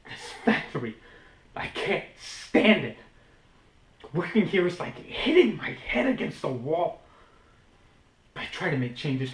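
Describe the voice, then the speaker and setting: A man's voice: fairly high pitch, sharp tone. A man playing a despairing, lovelorn factory man in a subdued, brooding stage monologue. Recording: roomy, echoing indoor recording.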